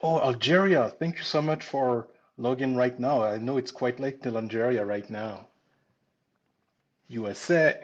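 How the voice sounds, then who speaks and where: A man's voice: medium pitch, a little slow, highs cut off. Upbeat French-accented man on a live stream. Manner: upbeat, greets viewers one by one. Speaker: pastry instructor. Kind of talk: live-stream greeting chat. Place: home kitchen.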